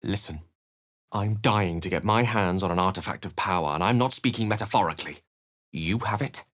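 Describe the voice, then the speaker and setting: Fantasy game wizard: low English voice, very dry sound, eloquent, measured and theatrical. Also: male voice, a bit bright, dry recording. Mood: earnest, concerned. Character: learned, eloquent adult male wizard adventurer. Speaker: video game voice actor. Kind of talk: dramatic scripted game dialogue and narration.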